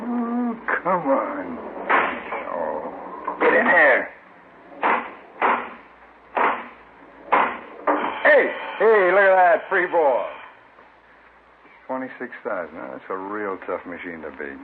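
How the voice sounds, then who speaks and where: A man's voice: mid-range voice, huge pitch swings, slow pace, full character voice. An actor playing a tough, evasive businessman, curt and impatient, in a mid-century radio crime drama. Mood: excited. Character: tough, evasive meat wholesaler bookie's client playing pinball. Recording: noisy, muffled old radio broadcast recording.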